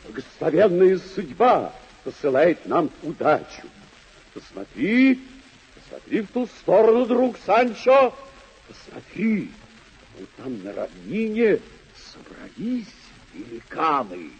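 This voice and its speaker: An actor playing a deluded, gallant old knight-errant in a radio play, declaiming in stately, drawn-out phrases. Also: male voice, high voice, very animated, husky, full character voice. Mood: excited, bellicose. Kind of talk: vintage radio play acting. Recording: dull old radio recording.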